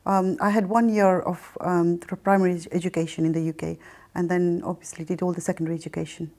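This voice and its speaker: A middle-aged woman from Kenya and England giving a reflective personal testimony in a hesitant, halting manner with pauses mid-phrase. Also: fairly low pitch, level tone, warm tone. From Kenya, raised in Mombasa and then England. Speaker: interview guest telling her life story.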